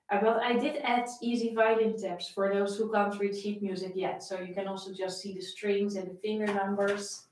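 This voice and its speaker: A woman's voice: on the high side, darkish tone, very echoey, recorded from a distance, poor audio. A young woman with a Dutch accent, a violin teacher chatting and greeting viewers by name before a live-stream lesson from her home. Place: home room with framed pictures, indoors.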